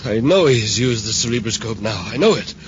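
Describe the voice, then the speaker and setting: An actor playing a frightened, overwrought scientist who stammers urgently, in 1950s radio serial style. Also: male voice, mid-range voice, lively intonation, fairly quick, lightly acted. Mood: urgent, agitated. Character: rattled, frightened male scientist doctor, inventor of a brain machine. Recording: noisy, muffled 1950s radio recording.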